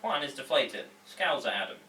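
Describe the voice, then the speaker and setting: A man's voice: medium pitch, lively intonation, moderate pace, pushed and bright, a little hiss or hum. A man informally reading out stage directions at a table read, with asides to the actors.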